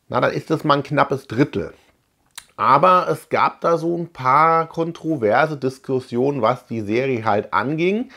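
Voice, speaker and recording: A man in his forties from Germany, hosting a video in a casual, conversational way with musing asides. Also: mid-range voice. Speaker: host of a retro film and cartoon collecting channel. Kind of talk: casual chat to camera. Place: small room lined with shelves, indoors.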